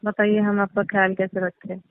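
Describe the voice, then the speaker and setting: A woman's voice: mid-range voice, monotone, moderate pace, lightly acted. A woman playing a placating, coy married tenant on a phone call, speaking in a repetitive, evasive way. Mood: questioning. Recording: echoey, tinny narrowband phone line.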